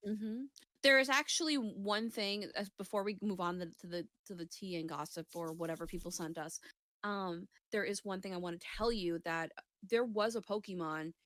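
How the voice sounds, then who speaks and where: A woman's voice: medium pitch, normal speed, a bit bright, no room sound. A woman co-hosting a casual gaming chat show, talking in a rambling, sweary way and often interrupting herself.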